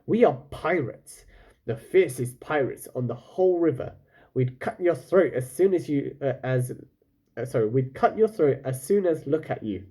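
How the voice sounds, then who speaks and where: A man's voice: mid-range voice, animated, moderate pace, mellow, husky. A man voicing a proud, bumbling pirate captain in a storybook, grandly formal, boastful and trying to sound fierce. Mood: blustering, threatening.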